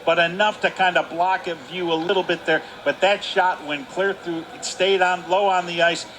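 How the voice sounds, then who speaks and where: A man's voice: high-pitched, steady tone, moderate pace, fairly bright tone. A man giving live colour commentary on a hockey game, explaining the play in a conversational way. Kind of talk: live sports analysis. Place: indoor ice hockey arena. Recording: faint arena noise behind the voice.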